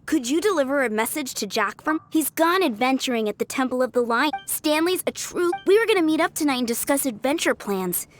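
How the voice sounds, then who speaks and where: Casting: young female knight trainee for a game, sincere, humble, slightly high pitch. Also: lively intonation, a little fast, hardly any pauses, faint music behind. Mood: flustered. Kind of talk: video game character acting.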